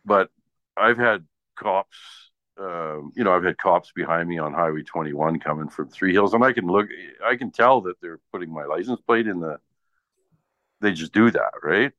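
A Canadian man with a low voice tells casual stories in a blunt, profane way. He sounds exasperated as he rambles on. The audio is dull and lo-fi, with the echo of a room around him. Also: animated, moderate pace, fairly bright tone. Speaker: radio presenter. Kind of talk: casual chat, ranting anecdotes.

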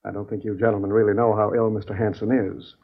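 An actor playing a stern, obstructive doctor with a husky voice and an insistent manner, in a 1950s radio drama. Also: male voice, on the low side, flat delivery, fast. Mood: stern, indignant. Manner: stiff, authoritative, insistent. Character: stern, obstructive male doctor guarding his patient. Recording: dull old radio recording.

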